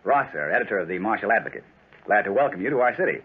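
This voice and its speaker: An actor playing a talkative, glad-handing small-town editor in a 1940s radio play. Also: male voice, lowish voice, animated, racing, breathy edge. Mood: eager, courteous. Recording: tinny old radio recording.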